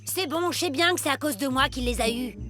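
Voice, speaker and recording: A woman's voice: high-pitched, a little fast, pushed and bright. A woman voicing an eager, sensitive, stubborn young jungle boy in a cartoon dub. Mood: frustrated. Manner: pleading, earnest.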